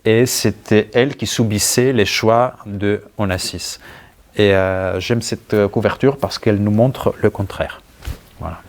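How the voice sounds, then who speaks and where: A man from Greece with a Greek accent, a writer answering questions conversationally and searching for words as he goes. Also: on the low side. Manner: halting, searching for words, thoughtful. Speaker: writer being interviewed. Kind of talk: conversational answers at a book talk.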